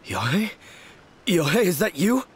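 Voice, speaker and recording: A man's voice: high voice, sing-song, measured pace, a little hiss or hum. An actor playing a hapless, self-deprecating young man in a video game, earnest and bewildered. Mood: dazed, confessing. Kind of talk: video game character acting.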